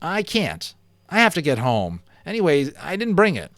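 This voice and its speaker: A man's voice: a little high, huge pitch swings, normal speed, fairly bright tone, dry recording, pitched above the performer's own voice, full character voice. A man reading a children's book aloud, voicing a secretive, earnest young schoolboy who answers in hesitant, guarded bursts. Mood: insistent. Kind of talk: storybook character voice.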